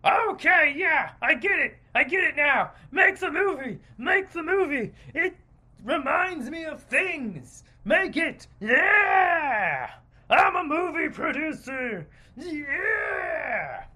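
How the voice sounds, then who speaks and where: A man's voice: very high-pitched, sing-song, takes it slowly, sharp tone, pitched above the performer's own voice, heavily acted. A man voicing a dim, childish, overexcited male movie producer in a comic rant, blustering in simple-minded phrases. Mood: gleeful shouting.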